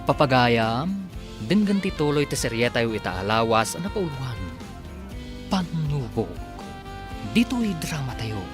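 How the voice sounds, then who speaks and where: Radio serial narrator: male, mid-pitched, very lively intonation, theatrical emphasis, guitar music underneath. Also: unhurried, pauses often. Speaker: radio drama narrator and announcer. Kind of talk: dramatic scripted narration.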